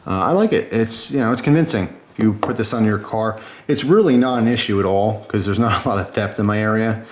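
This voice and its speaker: A man with a fairly low voice talks through a product review. He is informal and chatty, sounding enthusiastic as he shares his opinions in a casual style. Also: fairly quick, slight background noise.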